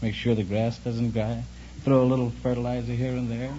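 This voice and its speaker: A man's voice: mid-range voice, flat delivery, a little fast, lo-fi sound, background noise. A young man chatting as a contestant on a 1950s radio quiz show, on an old broadcast recording. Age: young adult.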